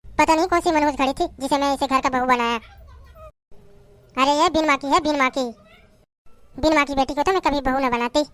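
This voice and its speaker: A woman's voice: high voice, a little fast, pauses often, close to an everyday voice. A woman playing a harsh middle-aged mother-in-law who berates and taunts her daughter-in-law in a family drama. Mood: bitter.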